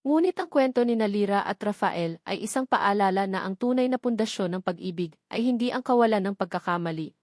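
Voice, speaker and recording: A woman's voice: mid-range voice, a little mellow, no room sound, studio quality. A woman storyteller giving a scripted, dramatic narration of a romance story.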